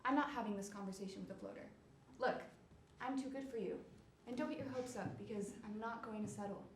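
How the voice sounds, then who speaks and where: Self-important, dismissive dater actress, high pitch, blunt stage delivery, distant roomy recording. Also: female voice, quick pace, close to an everyday voice. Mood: haughty, impatient. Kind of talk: amateur stage play. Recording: distant, echoey hall with noise.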